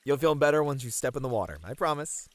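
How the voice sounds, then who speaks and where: A man's voice: medium pitch, animated, quick pace, slight background noise, natural voice. A man playing a friendly, easily flustered bunny man in an ASMR roleplay, earnest and a little hesitant. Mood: shy, easygoing. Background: pouring, trickling water.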